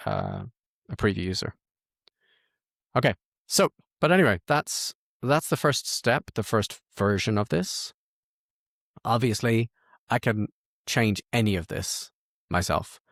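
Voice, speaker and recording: A man with a lowish voice and a strong creak talks through a software walkthrough. He sounds informal, musing and correcting himself as he goes. The sound is close and dry. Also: no room sound. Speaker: host of software tutorial. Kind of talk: casual screen-share walkthrough, thinking aloud.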